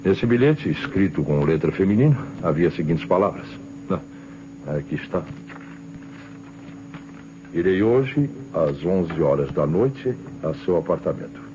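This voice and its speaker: An actor playing a stern, suspicious Brazilian police inspector who questions insistently in an old radio detective drama. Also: male voice, low voice, big pitch swings, unhurried, pauses often. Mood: stern, accusing. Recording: muffled old radio recording, loud noise.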